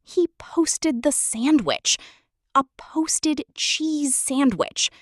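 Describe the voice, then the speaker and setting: A woman's voice: high voice, lively intonation, very slow, dry recording, clean studio sound. A woman storyteller reading a children's book aloud theatrically, addressing the listener directly.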